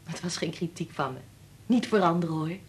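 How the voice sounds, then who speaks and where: An actress playing a warm, frank wife and mother in a Dutch radio play, talking in a soothing, confiding way. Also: on the low side, a little fast, husky. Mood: gently teasing.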